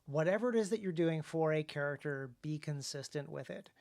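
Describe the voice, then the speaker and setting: A man hosting a casual discussion about craft, speaking haltingly and often repeating words as he thinks. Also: a little high, animated, vocal fry, a little mellow. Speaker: podcast host and author.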